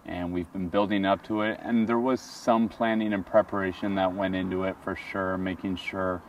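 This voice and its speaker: Low-voiced man chatting outdoors. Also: moderate pace, distant mic. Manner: relaxed, rambling with fillers. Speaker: vlog co-host. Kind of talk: casual travel chat. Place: outdoors beside a travel trailer. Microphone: camera at a distance. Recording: outdoor noise, voice from off-mic.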